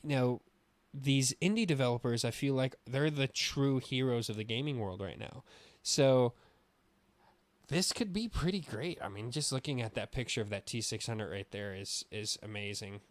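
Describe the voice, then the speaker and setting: A man's voice: medium pitch, moderate pace, no room sound. A man co-hosting a gaming podcast, talking casually and with strong opinions, swearing now and then. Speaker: podcast co-host.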